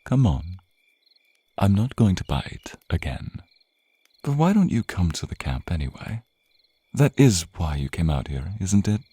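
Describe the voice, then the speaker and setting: A man plays a suave male vampire in an intimate ASMR roleplay. His low voice is dark and slightly husky, drawling slowly as he teases and purrs possessively at his captive. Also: sing-song, no room sound, heavily acted. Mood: coaxing, sly. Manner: drawling, purring, theatrical, murmured asides.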